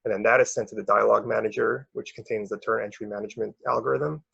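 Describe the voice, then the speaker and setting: A man presenting academic research as a researcher, explaining step by step in a hesitant, halting way with a gravelly, husky voice. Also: lowish voice, fairly even intonation, a bit bright, no room sound, muffled recording.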